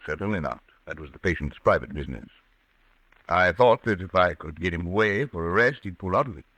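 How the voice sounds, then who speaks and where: A man acting an assured, formal doctor in an old-time radio drama, speaking briskly. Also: low-pitched, animated, a bit bright, husky, dry recording, heavily acted, low-quality recording. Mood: earnest. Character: assured doctor, loyal friend of a troubled patient. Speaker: radio actor.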